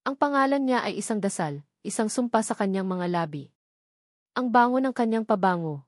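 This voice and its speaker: A woman reading a romantic drama aloud as its narrator, earnest and measured. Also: medium pitch, lively intonation, dry recording, studio quality. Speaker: storyteller.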